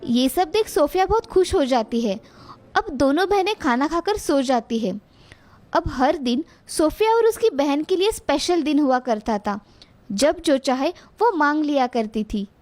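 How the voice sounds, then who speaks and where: A woman's voice: high voice, expressive, a little slow, darkish tone. A woman narrator reading a scripted moral story for children.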